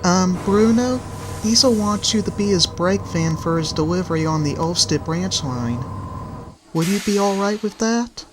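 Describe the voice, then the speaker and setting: A man voicing a kindly, protective female tank engine in a children's railway story, with a gentle, teasing manner. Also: high-pitched, lively intonation, measured pace, noisy background, pitched above the performer's own voice. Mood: gentle, reassuring.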